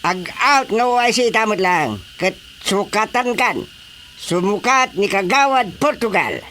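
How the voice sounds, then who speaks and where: Casting: domineering Ilocano village chairman, high-pitched, piercingly bright, slow deliberate delivery, radio comedy. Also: very animated, a little hiss or hum, exaggerated voice. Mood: indignant, scolding. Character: bossy, indignant male village chairman. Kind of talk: Filipino radio comedy drama acting.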